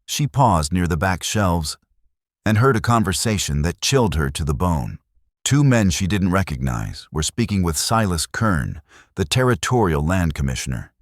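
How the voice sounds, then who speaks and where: Male narrator, extremely low pitch, measured dramatic storytelling, dry studio-like sound. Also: sing-song, no room sound. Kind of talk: scripted audiobook narration of a western romance.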